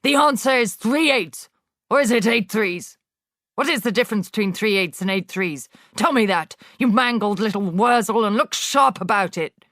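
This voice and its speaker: A woman voicing a bullying female headmistress who shouts insults at a pupil. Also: mid-range voice, animated, normal speed, dry recording, clean studio sound, pitched above the performer's own voice, natural voice. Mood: furious, bullying.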